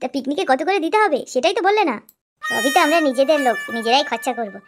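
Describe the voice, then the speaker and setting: A woman's voice: high voice, animated, fast talker, background noise. A woman voicing crude, boisterous young village drinking buddies bantering in a comedy cartoon. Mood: brash. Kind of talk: Bengali comedy cartoon dialogue.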